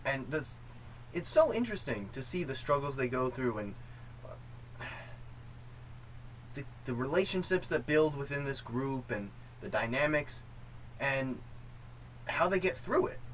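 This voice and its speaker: A man's voice: mid-range voice, sing-song, unhurried, long pauses, fairly bright tone, low-quality recording. A man co-hosting a film review show in a casual, conversational style. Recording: faint room noise.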